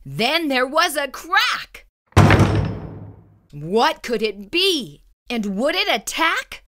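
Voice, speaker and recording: A woman's voice: high voice, big pitch swings, drawn-out words, sharp tone, slight background noise. A woman reading a children's story aloud in a suspenseful, theatrical and emphatic way. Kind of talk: dramatic read-aloud narration.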